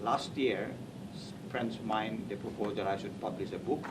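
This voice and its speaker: A man from Assam, speaking natively, recalls his writing in a halting interview manner, often restarting words. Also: on the low side, steady tone. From Assam, India. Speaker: interviewee, author. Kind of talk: reminiscing oral history interview. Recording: distant mic, loud background noise.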